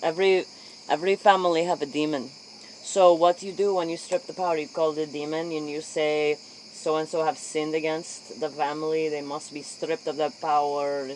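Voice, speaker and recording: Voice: mid-range voice, little pitch movement, normal speed, close to an everyday voice, poor audio. A performer playing an earnest, curious interviewer in a spoof late-night role play, speaking clipped broken English. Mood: probing, earnest. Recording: faint noise, chirping insects.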